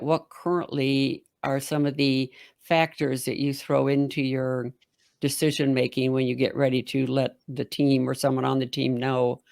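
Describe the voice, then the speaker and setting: A woman with a deep voice hosting an interview, talking in a very direct way. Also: vocal fry, darkish tone, dry recording, clean studio sound. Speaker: podcast host. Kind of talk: interview conversation.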